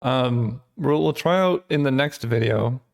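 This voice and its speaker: A man hosting his channel, thinking aloud in casual gameplay commentary with lots of fillers, his voice getting hoarse. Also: medium pitch, measured pace. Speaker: channel host.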